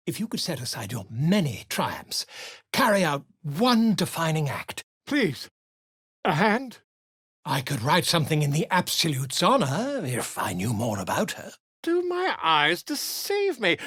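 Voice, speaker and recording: A pompous male bard for a fantasy game, all theatrical flourish and wordy grandeur. His husky voice leaps up and down expressively, and the recording is dry and close. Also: fairly high pitch, a bit bright, no room sound, full character voice. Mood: inquisitive, fussy, then grandly declaiming. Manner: florid, grandiose, wordy delivery. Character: pompous, flamboyant male bard and self-important author. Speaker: video game character actor.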